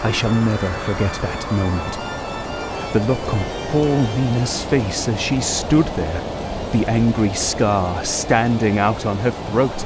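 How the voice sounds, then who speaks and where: An actor playing a haunted young husband, narrating solemnly in an old-style radio horror play. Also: male voice, lowish voice, slow pace, loud music behind the voice, low-quality recording. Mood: haunted, grave. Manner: solemn storytelling.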